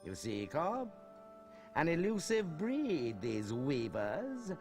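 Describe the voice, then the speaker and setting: Pompous villain bishop, high and slow. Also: male voice, wildly swooping pitch, exaggerated voice. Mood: gloating. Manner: pompous, grandiloquent, silkily menacing. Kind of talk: theatrical video game voice acting. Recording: long cavernous reverb, music behind.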